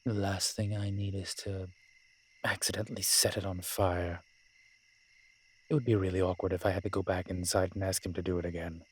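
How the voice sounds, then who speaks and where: Casting: male actor for a smooth-talking incubus demon in audio drama; very low, husky voice, unhurried sardonic delivery. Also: little pitch movement. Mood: musing, cautious. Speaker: audio roleplay character. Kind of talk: dramatic character roleplay acting.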